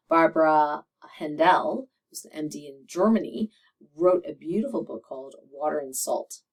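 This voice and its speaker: A middle-aged woman with a slightly raspy voice, a doctor chatting to camera, laughing often and using many fillers. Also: deep voice, distant mic. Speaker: medical doctor and video host. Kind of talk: casual monologue to camera.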